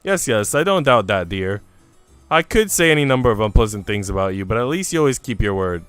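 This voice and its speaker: A defiant housemaid played by a man answers with composed, dry politeness. The voice is smooth and clear with lively inflection, in visual novel style. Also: lowish voice, a little fast, no room sound, soft background music. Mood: calm defiance. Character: cool, calm, protective housemaid, defiant and dry.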